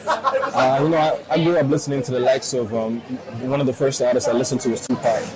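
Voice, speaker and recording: A young man from Rwanda, raised in South Africa, answering interview questions in a soft, hesitant way with many ums. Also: mid-range voice, animated, fast, heavy background noise. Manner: soft-spoken, many ums and you knows. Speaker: rapper guest. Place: busy lounge.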